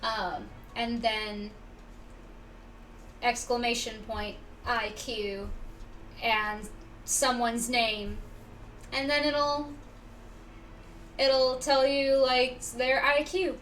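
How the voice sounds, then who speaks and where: A woman livestreaming a game, chatting casually to viewers in a halting, musing, informal way. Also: fairly high pitch, fairly even intonation, a bit bright. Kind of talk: casual chat to stream viewers. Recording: slightly distant mic, faint background noise.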